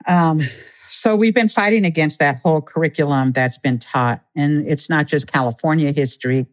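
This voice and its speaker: A man speaking as an interview guest, earnest and explanatory, laying points out at a steady, measured pace. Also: fairly high pitch. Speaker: guest, Native community organizer. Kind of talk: conversational interview.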